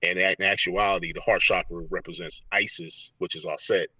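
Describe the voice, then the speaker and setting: A man's voice: low voice, fairly even intonation, brisk, pushed and bright, slightly rough, no echo, recorded from a distance, rough recording. A man speaking as a motivational guest on a remote call, explaining conversationally and earnestly, repeating phrases for emphasis. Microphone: earpiece on a remote call.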